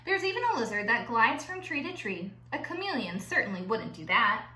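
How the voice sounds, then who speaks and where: Animated, high-pitched woman reading to children. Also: brisk. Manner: animated, playful, drawing out words for emphasis. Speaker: teacher reading aloud to her class. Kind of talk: expressive children's read-aloud with chatty asides. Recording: roomy echo with faint background noise.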